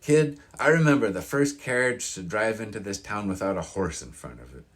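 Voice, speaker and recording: A man's voice: on the low side, lively intonation, moderate pace, voice pushed up, natural voice. A man voicing an opinionated elderly small-town local in an audiobook, with a grating, folksy, emphatic delivery. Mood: proud, reminiscing. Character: crusty old mountain-town man, proud, opinionated, wistful. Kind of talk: audiobook character reading.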